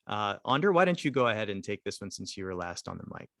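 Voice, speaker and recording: A man's voice: fairly low pitch, big pitch swings, quick pace, vocal fry, fairly bright tone, no room sound, studio quality. A man moderating a panel discussion over a video call, upbeat and friendly. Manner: friendly, upbeat, laughs along.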